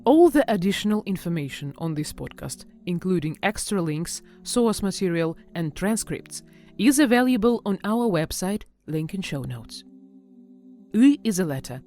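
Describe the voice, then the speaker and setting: A woman's voice: lowish voice, lively intonation, normal speed, mellow, soft background music. A woman podcast host reading scripted commentary with a wry touch.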